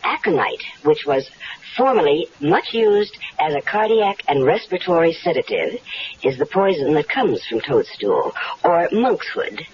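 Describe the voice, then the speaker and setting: A scheming wife in an old radio mystery plays it sweet while she plans a murder. Her voice swoops with lively melody, and the broadcast sounds dull, with a faint hiss underneath. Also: on the low side, a little slow, creaky, full character voice. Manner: coaxing, confident, sweetly calculating. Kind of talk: 1970s radio drama acting.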